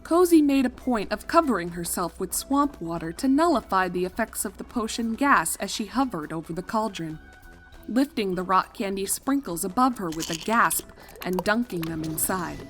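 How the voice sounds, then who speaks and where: A woman reading fan fiction as narrator, expressive and dramatic, leaning on key words. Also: medium pitch, moderate pace, over music. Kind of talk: expressive scripted story narration.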